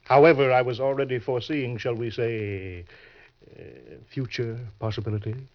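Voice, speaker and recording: An actor playing a crafty, genial older swindler in an old-time radio crime drama, speaking in a suave, deliberate way. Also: male voice, medium pitch, steady tone, natural voice. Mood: sly, assured. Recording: dull vintage radio recording.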